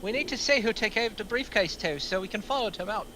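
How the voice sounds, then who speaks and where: A man's voice: sits high, expressive, fast talker, bright, forceful tone, slight background noise. A man playing an anxious, foul-mouthed young lookout in an amateur screenplay table read. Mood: irritated.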